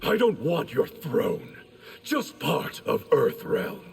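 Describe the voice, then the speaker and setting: Casting: firm, formal male game fighter, very raspy, lively pitch. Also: takes it slowly, soft background music, full character voice. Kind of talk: video game character dialogue.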